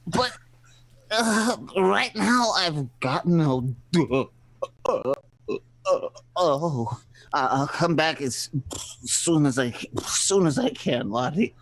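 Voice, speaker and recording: Man putting on a flustered, very slow-talking pool player. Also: on the high side, expressive, a bit bright, full character voice, rough recording. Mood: sheepish, evasive. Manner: halting, stammering, drawn-out delivery. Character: flustered, stammering pool player, a young man called kid. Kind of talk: live comedic radio play reading.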